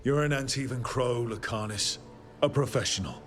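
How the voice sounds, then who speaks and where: An actor playing a steadfast, reassuring heroic companion and leader in a video game, earnest and persuasive. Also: male voice, medium pitch, moderate pace, a bit bright, natural voice. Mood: firm, urging. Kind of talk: dramatic video game acting. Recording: music behind the voice.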